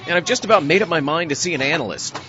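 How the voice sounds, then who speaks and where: Casting: male actor for a troubled, self-doubting husband in an audio drama. Halting, sighing delivery, very animated pitch, dull recording. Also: noisy background, natural voice. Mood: distraught. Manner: stammering, sighing. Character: anguished husband losing his senses, frantic and self-doubting.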